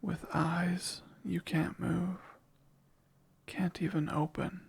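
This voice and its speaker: A man voicing a possessive male sleep paralysis demon in an ASMR roleplay, whispering slowly and teasingly in a gravelly, breathy voice. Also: sits high, level tone, heavily acted. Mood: hushed, eerie. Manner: hushed close whispering, slow and teasing.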